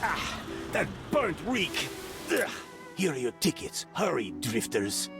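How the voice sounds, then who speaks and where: An actor playing an irritable, brusque local man with a gravelly voice who hands out boat tickets in a game. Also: on the high side, huge pitch swings, takes it slowly, exaggerated voice. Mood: irritated. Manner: exclamatory, brusque. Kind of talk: animated game character acting. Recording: music behind the voice.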